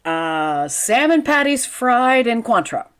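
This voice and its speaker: Woman playing a wry, wisecracking schoolteacher, lively pitch. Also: slow pace, clean tone, heavily acted. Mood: dry, puzzled. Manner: dry, sardonic, pedantic. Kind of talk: 1940s radio comedy acting.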